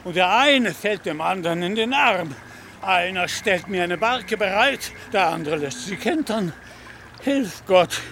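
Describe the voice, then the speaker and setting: A man's voice: high-pitched, expressive, measured pace, sharp tone, a little hiss or hum, heavily acted. A man playing a proud, deluded knight-errant in a radio drama, declaiming pompously in archaic, grandiloquent phrases and easily enraged. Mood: lamenting. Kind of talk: theatrical radio drama acting.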